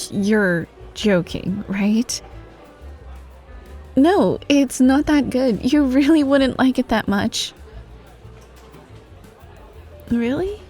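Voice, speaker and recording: Woman acting slow, high-voiced friendly young student. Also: lively intonation, husky, full character voice. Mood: bashful, hesitant. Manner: soft, casual, hesitant, giggly. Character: friendly, teasing, bashful popular female student. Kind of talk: wholesome ASMR roleplay, speaking to the listener. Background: music, cafe ambience.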